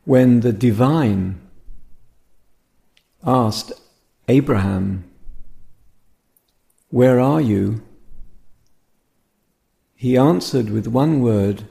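Guy leading a meditation, super mellow n slow, bit of creaky fry, gentle and reflective, lots of pauses. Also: medium pitch. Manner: gentle, contemplative, speaking in short pauses-broken phrases. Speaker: meditation teacher. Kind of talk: guided meditation talk.

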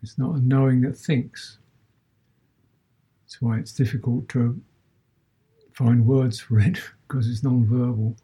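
A man teaching a guided meditation as a Buddhist teacher, speaking in short measured phrases with long pauses between them. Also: mid-range voice, fairly even intonation, mellow, breathy edge.

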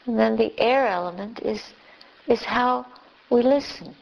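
A woman leading a guided meditation as a teacher, speaking gently and unhurriedly in short phrases with long pauses. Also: fairly high pitch, gravelly, muffled recording. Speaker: Buddhist nun, meditation teacher.

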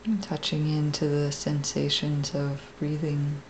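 A woman meditation teacher leading a guided meditation in a gentle, soft, creaky, unhurried voice with long pauses. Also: low voice, completely flat delivery, a little hiss or hum, poor audio.

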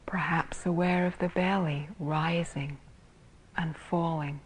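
A woman teaching meditation in a soft, breathy, husky voice, calmly and gently guiding with long pauses between phrases. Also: fairly low pitch, rough recording. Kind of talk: guided meditation.

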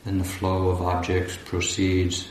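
A man guiding a meditation as a teacher, speaking calmly and deliberately in short phrases with long pauses. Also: low voice. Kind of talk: guided meditation instruction. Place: large echoey indoor room at a retreat. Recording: roomy echo, faint background noise.

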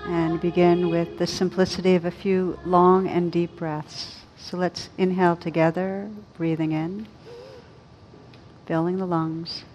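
A woman meditation teacher leading a slow guided meditation in a gentle, soothing, unhurried manner with long pauses. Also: little pitch movement, faint music behind. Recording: dull lo-fi recording with rumbling background noise.

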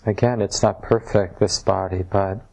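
A man leading a guided meditation as a teacher, speaking in measured short phrases with pauses between them. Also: fairly low pitch, fairly even intonation, creaky, darkish tone, rough recording. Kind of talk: guided meditation, softly instructive. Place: room at a retreat center.

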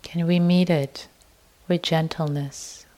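A woman meditation teacher with a low, mellow voice guides a practice. She speaks gently and very slowly, in short soft phrases that leave space between them. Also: fairly even intonation.

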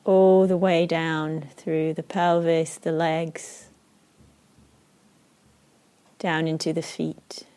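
A woman with an Israeli accent leading a guided meditation, speaking slowly and softly with long pauses. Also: sits low, little pitch movement. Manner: slow, soft and unhurried, with long pauses. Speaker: meditation teacher.

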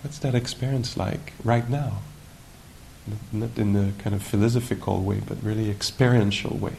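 Male meditation teacher, lowish creaky voice, unhurried and musing, live recording with faint rumbling noise. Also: fairly even intonation, poor audio. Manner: unhurried, musing, gentle questioning with long pauses. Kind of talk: guided meditation at a retreat.

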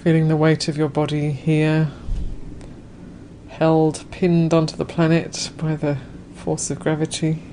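A woman guides a meditation in a low, mellow voice. Her phrasing is gentle and spacious, with a soft, unforced delivery. There is faint ambient noise behind her. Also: monotone, measured pace. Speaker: Buddhist nun leading a guided meditation.

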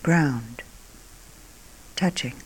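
A woman meditation teacher guiding a meditation calmly and unhurriedly, in short phrases with long pauses, her voice soft and breathy at phrase ends. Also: a bass voice, rough recording. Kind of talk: guided meditation.

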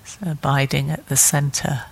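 A woman meditation teacher leading a guided meditation calmly and gently, in short phrases with long pauses between them. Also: low-pitched, gravelly, slightly rough.

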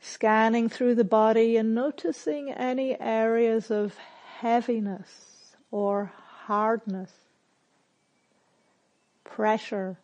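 A woman leading a guided meditation as a teacher, speaking gently and with measured, spare phrases separated by long silences. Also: on the high side, steady tone, dull audio. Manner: gentle, measured, softly instructive.

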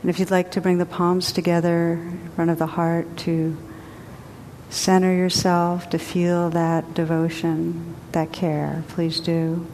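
A woman teacher guiding a group meditation in a gentle, unhurried way with long pauses. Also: monotone. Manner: slow, gentle, spacious pauses. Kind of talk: guided meditation. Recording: long hall reverb, faint rumble.

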